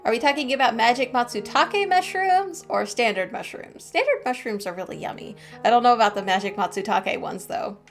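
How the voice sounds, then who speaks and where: A woman's voice: fairly high pitch, animated, brisk, few pauses. A woman streamer giving casual, lighthearted, chatty commentary while playing through a game. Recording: game music behind the voice. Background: game music.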